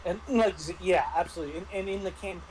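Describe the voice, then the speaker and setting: A man in a casual discussion as a game master, speaking haltingly and often restarting his phrases. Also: high-pitched, a little mellow, slight background noise, poor audio. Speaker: game master reviewing a tabletop RPG.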